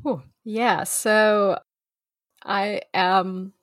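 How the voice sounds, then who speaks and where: A woman's voice: medium pitch, steady tone, extremely slow, fairly bright tone, no room sound. Guest speaker, female, from Russia, mid-range voice, friendly and chatty with ums, dry recording. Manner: friendly, with frequent ums and light laughs. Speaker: academic guest. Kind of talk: conversational interview answers.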